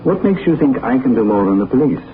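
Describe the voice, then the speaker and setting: A man playing a suave gentleman detective. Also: medium pitch, very animated, close to an everyday voice. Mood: reassuring. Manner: polished, measured, reassuring. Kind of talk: 1940s radio acting. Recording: tinny old radio broadcast with hiss.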